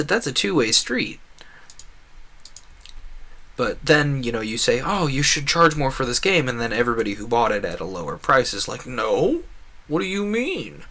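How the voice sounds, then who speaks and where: Male game streamer, bright forceful voice at middle pitch, earnest and opinionated, casual rambling delivery. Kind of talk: casual gaming stream commentary.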